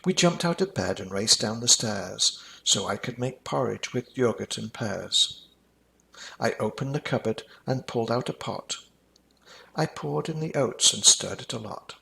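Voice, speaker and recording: An older man reads a children's story in rhyme. His voice is creaky with a bright edge, and he tells it expressively, with a dramatic touch. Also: medium pitch, lively intonation, a little slow, slight background noise, poor audio. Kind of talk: scripted rhyming children's story narration.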